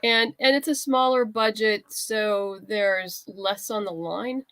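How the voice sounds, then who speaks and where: A woman speaks as a guest in a casual interview, with a mid-pitched voice and a chatty, enthusiastic manner. The sound is close and dry, with very little room on it. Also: steady tone, takes it slowly, fairly bright tone, smooth, dry recording. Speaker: guest, writer and comics creator.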